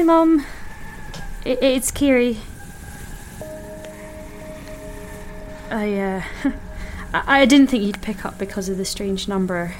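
A woman's voice: fairly high pitch, close to an everyday voice. An actress playing Kiri, an anxious young woman who stammers as she talks about leaving the city. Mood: nervous. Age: young adult. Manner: stammering, restless, nervous. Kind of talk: audio drama acting. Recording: tinny phone line with music.

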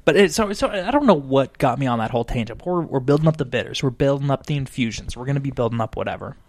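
A man's voice: mid-range voice, lively intonation, fast, a little mellow, no echo, studio quality. A man in his late twenties co-hosting a casual podcast over drinks, rambling off on tangents and swearing freely. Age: 20s. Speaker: podcast co-host.

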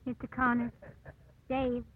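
A woman's voice: on the high side, completely flat delivery, a little slow, lightly acted. An actress playing an earnest, perceptive young woman lawyer, sincere, in 1950s radio drama style. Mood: sympathetic. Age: young adult. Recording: tinny noisy vintage radio recording.

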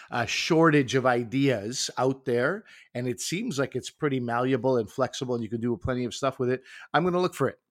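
A man bantering with his co-host in a light, teasing way. His pitch is average, and the recording is dry and close, with no room echo. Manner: playful, teasing, enthusiastic. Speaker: podcast co-host. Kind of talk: casual chat.

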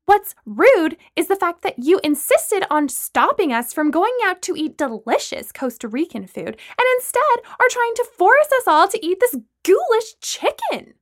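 A woman's voice: huge pitch swings, unhurried, few pauses, pushed and bright, heavily acted. A woman voicing an outspoken young woman in an audiobook, blunt and indignant, pressing every point emphatically. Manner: blunt, emphatic, high-pitched.

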